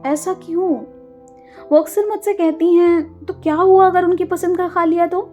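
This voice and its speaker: A woman's voice: extremely high pitch, steady tone, moderate pace, phone-quality sound, soft background music, pitched above the performer's own voice. A woman voicing a sensitive, introverted young girl in an audio story, with an earnest, emotional way of talking. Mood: wistful, questioning. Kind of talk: acted dialogue in audio storytelling.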